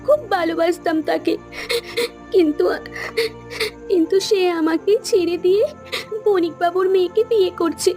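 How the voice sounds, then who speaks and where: A woman's voice: very high voice, steady tone, moderate pace, mellow, faint music behind. A woman playing a jilted young woman in a cartoon story, plaintive and hesitant. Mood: hurt. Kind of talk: Bengali cartoon story acting.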